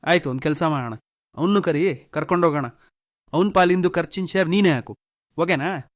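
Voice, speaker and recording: A man's voice: fairly high pitch, a little fast, no room sound, studio quality, natural voice. A man voicing a sarcastic young friend in a cartoon story, challenging and tight with money. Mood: sarcastic challenge. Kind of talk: cartoon short story dialogue.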